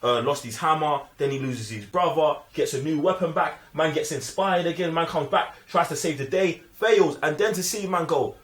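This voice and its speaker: A man's voice: on the high side, normal speed, hardly any pauses. A young man with a London accent chatting casually and emphatically about films, full of slang. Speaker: film review podcast host. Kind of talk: casual opinionated film chat. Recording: echoey room.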